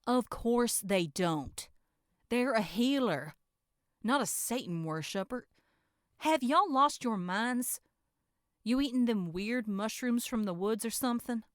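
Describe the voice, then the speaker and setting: A woman's voice: on the high side, expressive, slow, no echo, clean studio sound. A woman playing an exasperated, level-headed villager with a folksy, sarcastic manner in a horror roleplay audio drama.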